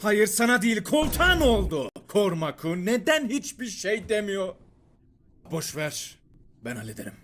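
A man voicing an exasperated, dramatic cartoon homeowner who swings from muttering to yelling. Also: sits high, sing-song, moderate pace, a little hiss or hum. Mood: weary, irritated. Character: exasperated, dramatic male homeowner scolding his clones. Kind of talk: animated comedy dub in Turkish.

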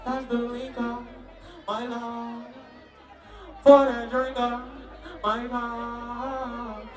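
A man's voice: extremely high pitch, level tone, extremely slow. Jamaican man performing over a distant stage PA. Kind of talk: live dancehall singing and crowd hyping. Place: outdoor covered stage at night beach party. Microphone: handheld stage microphone through PA. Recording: roomy distant live stage PA, loud music, crowd. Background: loud music and crowd.

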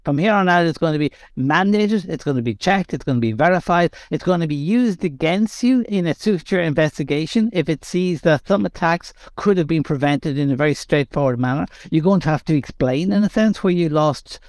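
Man explaining policy, slightly high voice. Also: brisk, few pauses, dry recording, clean studio sound. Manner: steady explanatory, repeats phrases for emphasis. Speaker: guest expert. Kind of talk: conversational interview.

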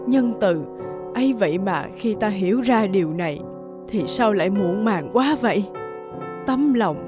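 Sorrowful old village mother over music. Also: on the high side. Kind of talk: animated folktale dubbing.

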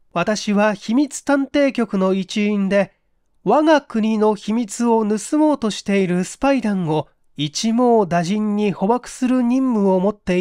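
A woman's voice: mid-range voice, darkish tone, no room sound, close to an everyday voice. Earnest male secret agent for an audiobook played by a woman: grave, polite, urgent, close dry sound. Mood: grave, explaining. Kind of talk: dramatic audiobook reading.

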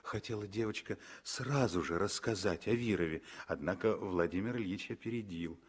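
A man narrating a 1960s radio drama with dramatic, deliberately phrased storytelling. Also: fairly low pitch, slight background noise.

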